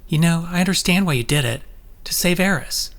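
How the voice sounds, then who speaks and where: An actor playing an intense, possessive, manipulative telepath lover in an audio drama, pressing in a coaxing, insistent way. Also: male voice, fairly high pitch, fairly quick, fairly bright tone. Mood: wounded, insistent.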